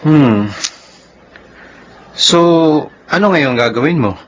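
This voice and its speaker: Calm, mid-pitched Filipino teacher. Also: male voice, slow pace, low-quality recording. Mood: concerned. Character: calm, concerned male school teacher. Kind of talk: Filipino radio drama acting.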